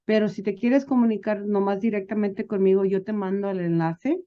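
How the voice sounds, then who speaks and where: A woman's voice: mid-range voice, steady tone, normal speed, dull audio. A woman guest chatting informally in an interview, switching between languages at times. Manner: mixes Spanish and English. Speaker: interview guest, business advisor.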